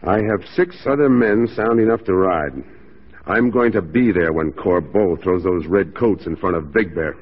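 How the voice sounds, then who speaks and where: Resolute sergeant, lowish husky voice, terse, grave, muffled vintage radio sound. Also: male voice, lively intonation, moderate pace. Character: stern, dutiful mounted police sergeant, stoic and resolute. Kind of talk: 1940s radio drama acting. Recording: dull 1940s radio recording.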